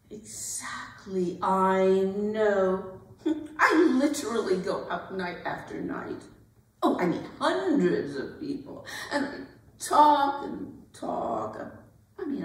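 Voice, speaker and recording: A woman acting a giggly, earnest young society debutante in a theatrical monologue, gushing and halting as she talks. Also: medium pitch, far from the mic. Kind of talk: theatrical dramatic monologue at a staged reading. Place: arts center performance space. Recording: echoey hall, picked up from afar.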